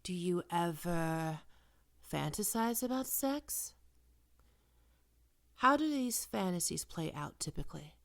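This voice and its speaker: A woman playing a probing, smoothly manipulative psychiatrist in an ASMR roleplay, speaking in measured, deliberate phrases. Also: lowish voice, animated, heavily acted. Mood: probing, insinuating. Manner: measured clinical questioning with deliberate pauses. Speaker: voice actor performing a roleplay.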